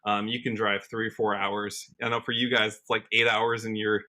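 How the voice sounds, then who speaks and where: Casual interview guest: American man, early 30s, musician, bright tone, conversational delivery. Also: lowish voice, little pitch movement, moderate pace. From Washington State, United States. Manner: conversational, peppers talk with "you know".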